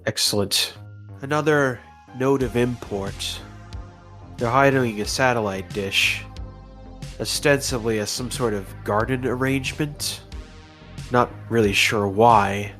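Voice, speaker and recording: A man's voice: medium pitch, takes it slowly, a bit bright, soft background music. A man playing a tabletop game, talking through his moves in casual role-play banter.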